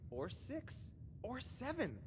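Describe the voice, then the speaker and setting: A woman's voice: high voice, wildly swooping pitch, slow pace, a little mellow, in a large hall, a little hiss or hum, heavily acted. A woman playing an eccentric, scatterbrained, book-obsessed librarian in a video game, rambling in sing-song rhymes and wordplay. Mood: welcoming, dotty. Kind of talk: theatrical video game character acting.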